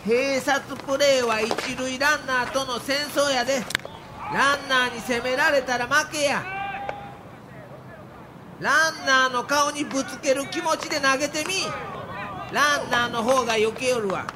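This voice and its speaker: An actor playing a fiery veteran baseball manager with a Kansai accent, instructing emphatically in an audio documentary drama. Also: male voice, very high voice, fairly even intonation, a little slow. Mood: stern, urging. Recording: clear outdoor background noise.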